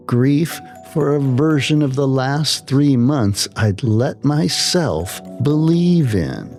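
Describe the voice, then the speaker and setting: A man narrating a scripted slow-burn horror story in a measured way with dramatic pauses. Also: mid-range voice, a little mellow.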